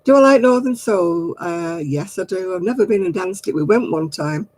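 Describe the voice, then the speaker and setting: Older woman, Oldham-Manchester accent, husky voice, homely rambling live chat. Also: on the low side, expressive, normal speed, darkish tone, no room sound. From Royton, Oldham, England. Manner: rambling, homely, chuckles often. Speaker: livestream host. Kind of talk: casual chat answering live comments.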